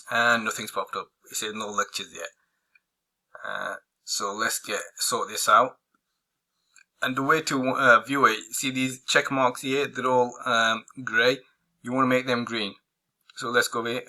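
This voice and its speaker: A man walking viewers through a software tutorial, hoarse and losing his voice, rambling with many fillers and restarts. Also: medium pitch. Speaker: online marketing tutor. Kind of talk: casual screen-recorded tutorial.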